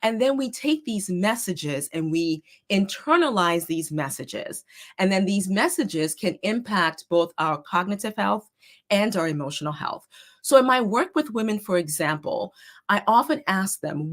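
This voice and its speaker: A middle-aged woman, a therapist and life coach, giving a steady, earnest talk with a slightly hoarse voice. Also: mid-range voice. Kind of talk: prepared talk to an online group.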